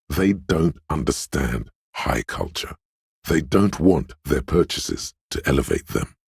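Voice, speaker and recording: A man playing a smug, scheming, money-hungry inner voice in a video game, coaxing like a salesman in a deep, gravelly, husky voice. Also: dry recording, studio quality, full character voice. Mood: disdainful. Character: slick money-hungry hustler inner voice, male, scheming and snobbish. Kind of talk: scripted game voice-over, inner-voice advice.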